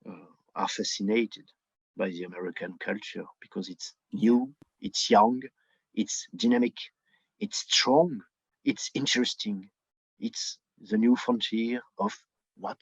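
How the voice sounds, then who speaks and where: A man from France with a French accent, a native French speaker talking English haltingly and emphatically as a podcast guest giving his opinions. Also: lowish voice, breathy edge, dry recording, highs cut off. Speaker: podcast guest, book editor. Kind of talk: conversational opinion.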